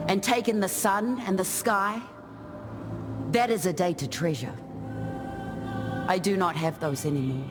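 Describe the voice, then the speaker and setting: Serene, graceful island warrior. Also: male voice, high-pitched. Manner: measured, reflective, lyrical. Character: easygoing, graceful island warrior spirit who dances through battle. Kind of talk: scripted game character monologue.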